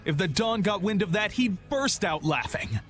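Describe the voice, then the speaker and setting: An actor playing a scornful, disapproving rival guild leader in a video game. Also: male voice, high voice, sing-song, moderate pace, fairly bright tone, soft background music.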